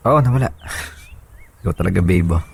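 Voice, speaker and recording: A man playing a guilty, evasive young boyfriend in a radio love drama, with loud music behind him. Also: low-pitched, animated, a little fast, gravelly, slight background noise. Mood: evasive, placating. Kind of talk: emotional radio drama acting. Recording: loud music under dialogue.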